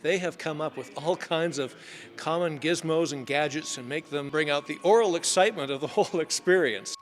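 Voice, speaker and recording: A man's voice: fairly high pitch, brisk, a little hiss or hum. A man giving a conversational interview as the creator of a stage show.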